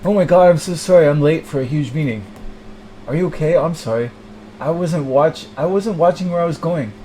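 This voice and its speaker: A man's voice: a little high, a little fast, mellow, slight background noise, lightly acted. A man playing a stressed, ambitious businessman in his late twenties, abrupt, panicky and apologetic.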